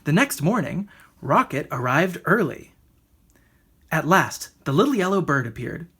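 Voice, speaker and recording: A woman narrates a children's story. The voice sits a little low and has a husky texture. The reading is expressive, with plenty of movement up and down. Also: a little slow. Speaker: storybook reader.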